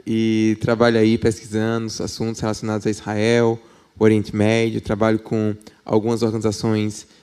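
A man with a Northeastern Brazilian accent from Paraíba introduces himself, steady and even. His voice is lowish, dark and smooth, with little rise and fall. He comes across as a calm, composed academic guest. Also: moderate pace. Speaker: guest, political scientist and professor. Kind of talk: formal self-introduction.

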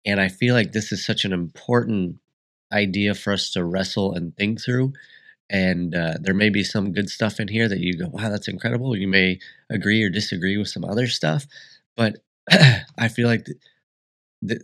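A man's voice: fairly low pitch, normal speed, fairly bright tone. A man hosting a solo podcast, congested and sniffly, talking in a rambling, reflective way with frequent restarts. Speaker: podcast host.

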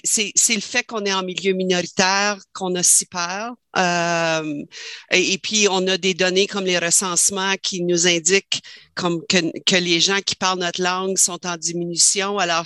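Panel guest: woman, bright clear voice, reflective, dry close sound. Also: mid-range voice, dry recording. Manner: thoughtful, repeats words as she thinks. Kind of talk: discussion panel.

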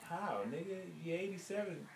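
Gravelly, blunt man in his thirties. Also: sits high, moderate pace. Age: 30s. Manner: blunt, teasing, slangy questioning. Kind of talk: casual chat. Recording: echoey room with crowd noise.

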